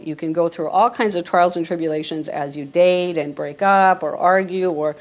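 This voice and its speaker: A woman's voice: on the low side, normal speed. A woman executive answering questions in a conversational interview. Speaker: company chief executive.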